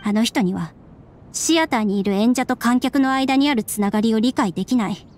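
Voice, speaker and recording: An actress voicing a subdued young woman in a Japanese game, speaking in a measured, wistful and serious way. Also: on the high side, darkish tone, close to an everyday voice. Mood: resigned, sad. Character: subdued, resigned young woman, estranged daughter of strict scholar. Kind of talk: Japanese anime game voice acting.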